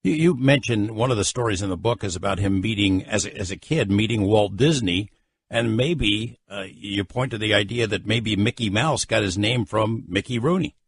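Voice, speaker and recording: Low, husky male interviewer. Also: moderate pace, no echo. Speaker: interviewer, host. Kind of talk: casual conversational interviewing.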